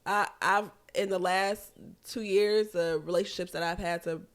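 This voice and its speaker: A woman, talking candidly as a podcast co-host. Her voice sits a little low and sounds congested. She swears freely and laughs often. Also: steady tone, normal speed. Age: 30s. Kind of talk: casual chat.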